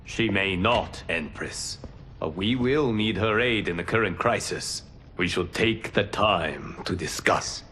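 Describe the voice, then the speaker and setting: Game mentor deity: calm, diplomatic, low raspy voice, unhurried. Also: male voice, a little hiss or hum. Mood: calm counsel. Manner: measured, diplomatic. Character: calm, wise male guardian god advising a ruler. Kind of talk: dramatic video game acting.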